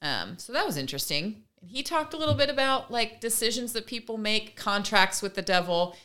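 Bright-voiced woman in casual discussion. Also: mid-range voice, lively intonation, fairly quick. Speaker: podcast co-host.

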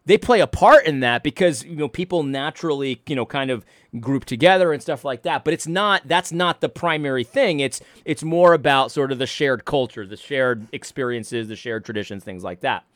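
A man's voice: mid-range voice, moderate pace, few pauses, fairly bright tone, no echo, studio quality. A married man from Connecticut, hosting a casual reaction commentary with many restarts and mocking asides. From Connecticut, USA. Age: adult, married. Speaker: commentary host reacting to a clip.

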